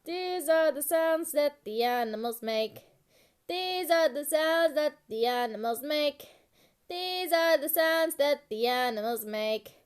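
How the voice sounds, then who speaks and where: Slow, high-voiced woman reciting children's rhyme. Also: lively intonation. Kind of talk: sing-song children's animal-sounds rhyme.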